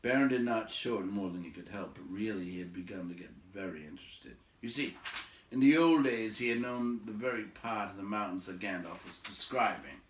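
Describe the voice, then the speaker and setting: A man reading a story aloud in an expressive narrating style. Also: lowish voice, brisk, a little hiss or hum. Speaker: story reader. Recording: roomy echo, distant from mic.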